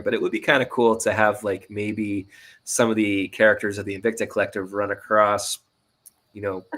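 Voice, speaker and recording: A man's voice: on the low side, a bit bright. A man speaking as an interview guest, casual and tentative, thinking aloud and qualifying his answers as he goes. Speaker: guest, indie audio drama writer and sound designer.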